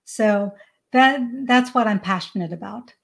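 A woman's voice: medium pitch, unhurried, gravelly. A woman in her forties with an American accent and Hindi as her first language, talking conversationally as an interview guest. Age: 40s. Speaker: podcast guest, parenting coach.

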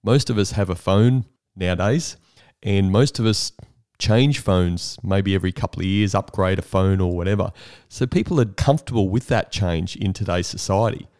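Very deep-voiced male interviewer. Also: moderate pace, darkish tone, no room sound, studio quality. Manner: thoughtful, leading questions with shared analogies. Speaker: interview host. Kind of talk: conversational interview questions.

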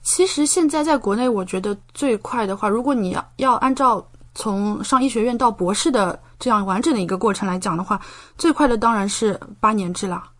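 A woman's voice: medium pitch, a little fast, hardly any pauses, fairly bright tone. A young woman from China, a podcast guest, explaining things in a conversational interview style. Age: young adult.

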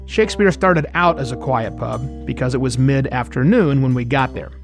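A man's voice: medium pitch, moderate pace, creaky. A man co-hosting a travel podcast, narrating with dry, wry humor. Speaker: podcast co-host. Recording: narration over background music. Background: theme music.

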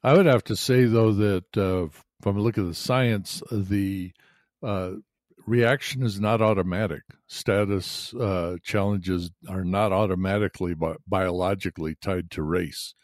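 An older man explaining ideas in a podcast chat, hesitant with many filler pauses. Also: deep voice, fairly even intonation, darkish tone, dry recording, studio quality. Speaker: podcast co-host. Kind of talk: explanatory conversation.

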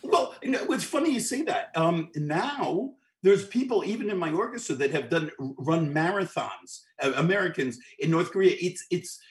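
A man's voice: on the high side, expressive, normal speed, hardly any pauses. A middle-aged man from New York, a guest musician telling long anecdotes in an interview, with frequent restarts and repeated words. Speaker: guest, orchestral clarinetist. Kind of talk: storytelling interview.

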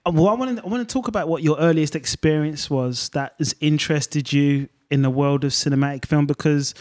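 Man, interviewer, fairly high pitch, upbeat, casual questions. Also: brisk, darkish tone.

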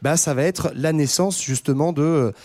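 A man explaining history in a relaxed podcast conversation, with many fillers and restarts. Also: a little high, normal speed. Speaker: podcast co-host. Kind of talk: casual history discussion.

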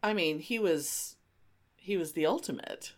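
A woman's voice: lowish voice, a little slow, over a noisy crowd. A middle-aged woman hosting a podcast in casual banter with a friend, emphatic and teasing, given to exclamations. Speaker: podcast host.

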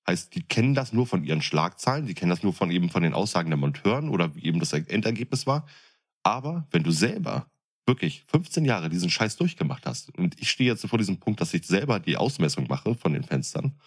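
Tiefe, raue Männerstimme, derb und lebhaft. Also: male voice, low voice, slightly rough. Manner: blunt, coarse, emphatic, colloquial. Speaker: podcast co-host, experienced window fitter. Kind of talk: casual chat, opinionated argument.

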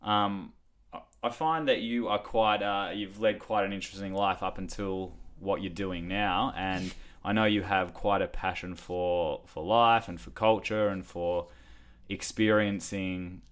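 A man hosting a podcast, talking in a relaxed, rambling way with fillers. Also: low voice, measured pace, smooth. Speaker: podcast host.

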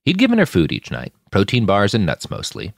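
A man narrates a fiction podcast in a deep voice. His scripted delivery swings widely in pitch for drama. The recording is dry and close. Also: wildly swooping pitch, brisk, gravelly, dry recording.

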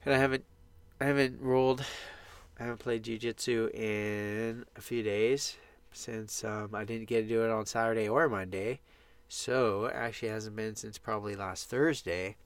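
Man with a clear, slightly low, stuffy voice. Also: fairly even intonation, normal speed, dry recording. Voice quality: congested, stuffy nose. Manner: informal, upbeat, rambling. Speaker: podcast host. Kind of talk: casual solo podcast monologue. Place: small sound booth.

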